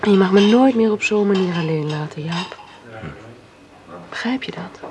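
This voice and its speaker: An actress playing a young housekeeper in a radio drama, speaking in a measured, firm and reproachful way. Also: female voice, medium pitch, animated, background noise, lightly acted. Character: young woman housekeeper, reserved but firm.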